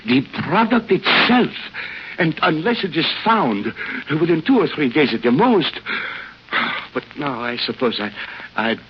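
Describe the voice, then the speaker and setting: An actor playing an anxious, well-mannered doctor of science who stammers and hesitates formally in an old radio drama. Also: male voice, on the high side, very animated, measured pace. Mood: anxious, distressed. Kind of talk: 1950s radio drama acting. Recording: muffled old radio recording, faint noise.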